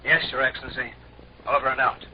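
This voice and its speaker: A man's voice: mid-range voice, flat delivery, fast, close to an everyday voice. An actor playing a dutiful, nervous male spaceship officer, deferential to his superior, in a 1950s radio drama. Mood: deferential, uneasy. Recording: noisy, dull 1950s radio recording.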